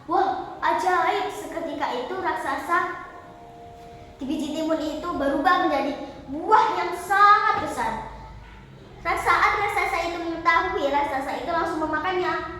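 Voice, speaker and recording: Female storyteller, very high voice, declamatory folktale recital, recorded far from the mic in reverberant space. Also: normal speed, bright, forceful tone. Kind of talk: memorised dramatic folktale storytelling. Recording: echoey hall, distant mic, faint noise.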